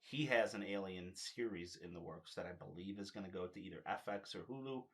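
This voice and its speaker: Low-pitched man in casual conversation. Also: a little fast. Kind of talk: casual conversation about films and comics.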